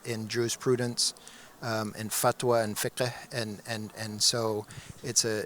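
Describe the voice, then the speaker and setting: Male academic panelist, mid pitch, hesitant, restarts, faint room noise. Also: a bit bright. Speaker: panel speaker, professor. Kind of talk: conference panel introduction.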